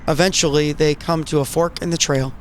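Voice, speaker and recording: A man's voice: fairly high pitch, steady tone, brisk, a little hiss or hum. A man reading cinematic scripted narration as a storyteller. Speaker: narrator and player.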